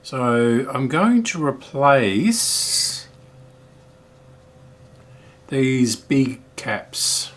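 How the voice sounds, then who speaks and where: A man's voice: medium pitch, sing-song, extremely slow, long pauses, a bit bright. A middle-aged man giving casual repair commentary as a hobbyist host, thinking aloud in a hesitant, musing way.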